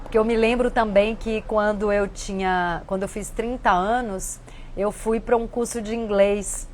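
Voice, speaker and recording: Woman, 50s, host of a reflective chat show, earnest and conversational, faint noise under the voice. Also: medium pitch. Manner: warm, earnest, conversational with frequent "né". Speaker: host answering viewer questions. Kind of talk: casual reflective talk.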